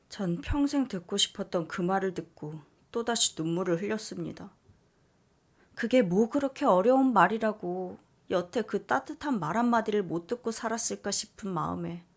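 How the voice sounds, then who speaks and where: A woman narrating a listener's letter in first person, soft and confiding. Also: lowish voice. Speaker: story reader.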